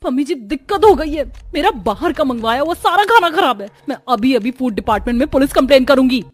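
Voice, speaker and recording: A woman's voice: sits high, lively intonation, fast talker, dry recording. A woman playing a snobbish modern young sister-in-law who sprinkles English into her speech. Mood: panicked.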